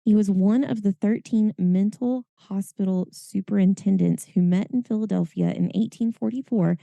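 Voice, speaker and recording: Hoarse raspy woman, low gravelly voice, dark tone, dry close mic. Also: normal speed, dry recording. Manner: chatty, lots of fillers and laughs. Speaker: podcast co-host. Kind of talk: casual chat.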